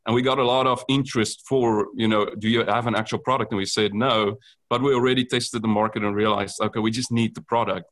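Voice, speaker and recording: A man with a South African accent speaks in a lowish voice. He earnestly explains how his company makes its product, pausing on frequent ums as he goes. It sounds like a guest on a business podcast. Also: steady tone, a bit bright. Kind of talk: podcast interview.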